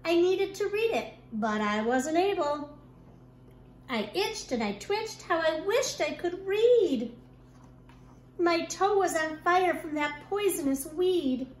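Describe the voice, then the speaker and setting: A woman kindergarten teacher reading a rhyming picture book aloud to young children in an expressive, deliberate storytelling manner. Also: extremely high pitch. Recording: echoey room with clear background noise.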